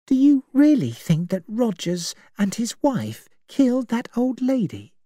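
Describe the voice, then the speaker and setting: A woman's voice: on the high side, very animated, unhurried, warm tone, no echo, studio quality, voice pushed up. A woman voicing a frightened, uneasy young woman. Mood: nervous. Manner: nervous, uneasy.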